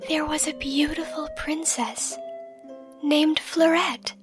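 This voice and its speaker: Woman as high, whispery, tender catgirl girlfriend. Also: fairly even intonation. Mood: gentle storytelling. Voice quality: soft, breathy, often whispered. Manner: tender, gentle, unhurried, reassuring. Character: affectionate catgirl girlfriend soothing her partner to sleep. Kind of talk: ASMR bedtime roleplay and slow storybook reading. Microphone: close microphone. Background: soft music.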